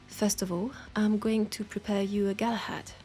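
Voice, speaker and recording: A woman's voice: medium pitch, flat delivery, normal speed, mellow, soft background music, lightly acted. A woman with a French accent playing a teasing, nurturing witch who tames werewolves, chatting playfully and coaxingly to the listener in an audio roleplay. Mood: chatty, reassuring. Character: teasing, nurturing female witch, self-styled werewolf tamer.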